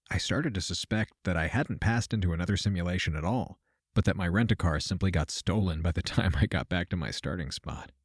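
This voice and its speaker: An actor playing an uneasy, wry traveler, narrating a science fiction audio drama in a deliberate, self-mocking storytelling manner. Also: male voice, low-pitched, dry recording, clean studio sound. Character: uneasy, wry male traveler narrating a simulation-hopping adventure. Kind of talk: scripted first-person audio drama narration.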